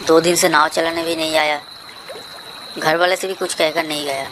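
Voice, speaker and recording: A woman plays a mild family-man boatman in a horror storybook. The talk is plain and conversational, in a register low for a woman. Noise is clearly audible behind. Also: little pitch movement, normal speed, natural voice. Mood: pensive. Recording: noisy track with water ambience.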